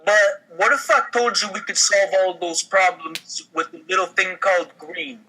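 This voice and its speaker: A man's voice: high voice, steady tone, moderate pace, bright, forceful tone, exaggerated voice, poor audio. A man acting a slick, pushy, sleazy mafia recruiter in an amateur comedy table read. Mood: slick, coaxing.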